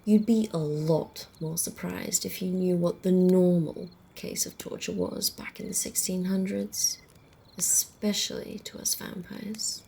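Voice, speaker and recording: A woman playing a centuries-old female vampire in an ASMR roleplay, wry, teasing and casually menacing, in a soft, breathy, often whispered voice. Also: on the low side, fairly even intonation, slight background noise. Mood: darkly amused menace. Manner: unhurried, amused, conversational.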